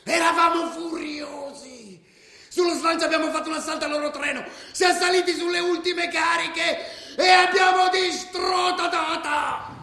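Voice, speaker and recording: A male actor playing a fired-up football ultra, telling of a brawl in forceful radio-drama style, prone to shouting. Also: very high voice, fairly even intonation, normal speed, vocal fry, full character voice. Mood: furious triumph. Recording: very echoey, reverberant room.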